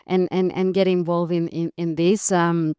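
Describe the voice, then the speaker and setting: A woman from Costa Rica talks through her career as a podcast guest. Her voice is smooth and resonant, and she laughs lightly and often, with plenty of fillers and restarts. The sound is dry, with no room around it. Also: on the low side, flat delivery, moderate pace, dry recording, muffled recording. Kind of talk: interview conversation.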